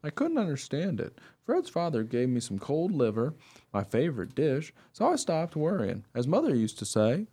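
A man's voice: fairly high pitch, wildly swooping pitch, normal speed, mellow, breathy edge, exaggerated voice. A man voicing a wry, good-natured mongrel dog who narrates his own story conversationally in a radio play. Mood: matter-of-fact.

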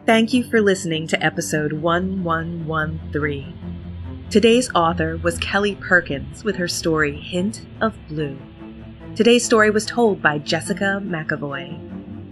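Woman, podcast host, scripted sign-off, fairly low pitch, clear music bed. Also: a bit bright.

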